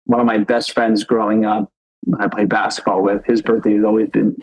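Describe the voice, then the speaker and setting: A man's voice: lowish voice, level tone, a little fast, a little mellow, husky, low-quality recording. A man of thirty co-hosting a podcast, chatting casually and rambling with lots of filler words. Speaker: podcast co-host. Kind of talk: casual chat.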